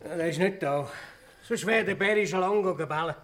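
A man's voice: sits high, brisk, fairly bright tone. A man playing a down-to-earth, placating village mayor in a radio play, speaking with a Swiss German accent. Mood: concerned. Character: pragmatic, conciliatory village mayor, male.

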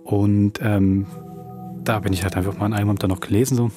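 A man's voice: fairly low pitch, steady tone, fast talker, over music. A man hosting a podcast, casually telling an anecdote in conversational style. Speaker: podcast host introducing an audio drama.

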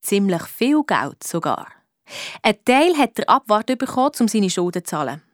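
An actress with a Swiss German accent playing a clever, cheeky amateur detective who narrates a children's radio play. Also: female voice, medium pitch, sing-song, normal speed, dry recording, clean studio sound, natural voice. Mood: narrating, pleased. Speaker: lead detective and narrator in a children's audio drama.

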